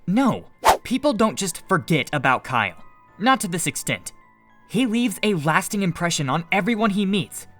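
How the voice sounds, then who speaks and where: A man plays a brash young man smitten and ready to provoke. The voice is on the high side and very expressive, delivered as animated roleplay drama. Music plays clearly behind it. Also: normal speed. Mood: defiant. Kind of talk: Minecraft roleplay voice acting.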